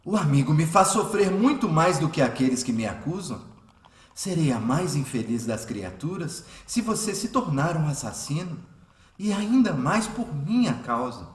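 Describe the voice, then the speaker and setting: A Brazilian man acting a gentle, benevolent healer of the 1910s in a radio drama, speaking earnestly and soothingly. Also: fairly high pitch, big pitch swings, moderate pace. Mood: pleading. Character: gentle, saintly male spiritist healer of the 1910s.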